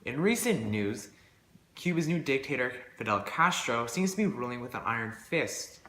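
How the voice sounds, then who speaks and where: A man's voice: mid-range voice, sing-song, normal speed, fairly bright tone. A man reading scripted news in an amateur newsreel style, with stock newscaster phrasing. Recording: echoey room with faint background noise.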